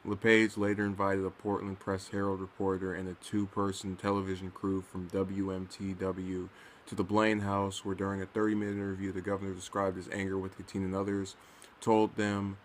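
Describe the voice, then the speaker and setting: A man's voice: deep voice, a little hiss or hum. A man casually reading and commenting on news, stumbling over his words now and then.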